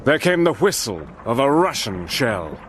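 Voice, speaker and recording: An actor playing a resolute British officer who narrates his own war story in a measured, grave way, in a radio play with artillery effects. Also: medium pitch, sing-song, heavy background noise. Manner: measured and grave, sharpening under fire. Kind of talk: first-person radio drama narration.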